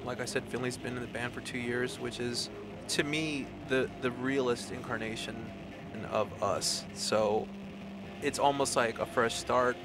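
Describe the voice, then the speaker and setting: A man's voice: medium pitch, steady tone, a little slow, background noise. A man who sings and plays guitar answers interview questions thoughtfully and hunts for words with frequent ums. Spanish was his first language. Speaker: singer and guitarist. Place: music club.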